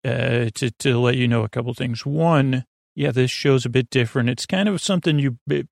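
A man hosting a sleep podcast, talking in a creaky, slow, meandering bedtime monologue full of tangents and restarts. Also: mid-range voice, no room sound, clean studio sound.

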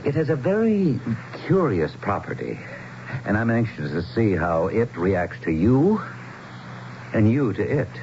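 An actor playing an earnest, anxious, educated town leader, speaking formally and haltingly as he explains, in a radio drama. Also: fairly low pitch, sing-song. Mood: earnest, eager. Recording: muffled, noisy 1970s radio broadcast.

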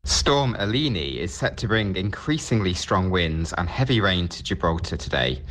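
A man reading a scripted weather forecast in a measured, informative manner. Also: sits low, sing-song, fairly bright tone.

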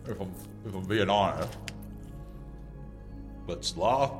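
An actor in an audio drama playing a lazy, petulant God who is easily enraged. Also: male voice, sits low, long reverb tail, over music. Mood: grumbling. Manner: slow drawling speech bursting into bellowing outrage.

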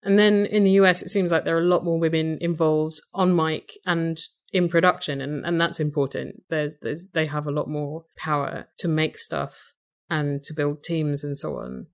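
A woman with an English accent and a low voice with some vocal fry, talking thoughtfully and wryly as a guest in a conversational interview. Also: fairly even intonation, normal speed. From Britain. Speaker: podcast host, interview guest.

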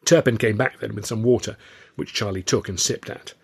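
A man's voice: fairly low pitch, a little fast, vocal fry, breathy edge. A man reading a scripted first-person story in a wry, literary manner. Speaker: author and audiobook narrator.

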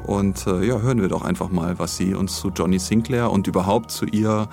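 A man hosting a podcast in casual, informal chat with a halting delivery. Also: deep voice, steady tone, creaky, a little mellow, soft background music. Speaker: podcast host.

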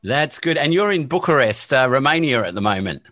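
A man hosting a podcast interview, speaking slowly and hesitantly with many fillers. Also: medium pitch, big pitch swings, sharp tone, no echo, clean studio sound. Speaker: podcast host. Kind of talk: casual interview.

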